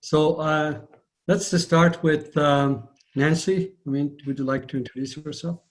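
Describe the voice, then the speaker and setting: A man's voice: on the high side, fairly even intonation, a little slow. A man moderating a virtual panel, speaking carefully and formally while losing his voice.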